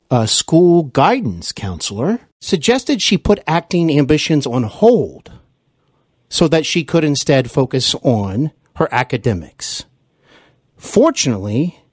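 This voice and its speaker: Male interview host, courteous and effusive, animated intonation, breathy husky edge, close dry sound with light music. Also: on the high side, no room sound. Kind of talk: scripted introductions and polite interviewing.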